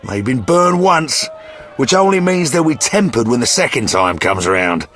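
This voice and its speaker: A man's voice: medium pitch, sing-song, moderate pace, fairly bright tone. A man voicing a crude, boastful yet sentimental mercenary sergeant in a fantasy video game, with blustering theatrical delivery. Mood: gruff boasting.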